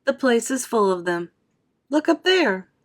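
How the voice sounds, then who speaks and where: A confident storybook boy, read by a woman, calm and determined. He explains things firmly and persuasively, with a high, animated voice. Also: a little slow. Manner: firm, explanatory.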